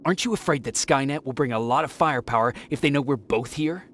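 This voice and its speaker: A man's voice: mid-range voice, quick pace, a little hiss or hum, lightly acted. A man playing a grim, wary resistance soldier in a dramatic video game. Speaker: soldier protagonist and narrator. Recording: loud music under the voice.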